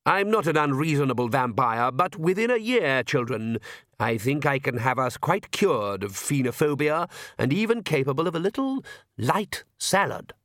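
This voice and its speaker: Audiobook vampire patriarch, played by a male narrator: pompous, coaxing, excitable, with a dry close sound. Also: mid-range voice, normal speed, no room sound. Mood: grandly hopeful. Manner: pompous, persuasive.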